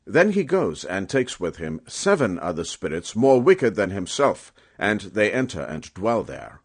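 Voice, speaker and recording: Expressive low-voiced man reading scripture. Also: slightly rough. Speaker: audiobook narrator.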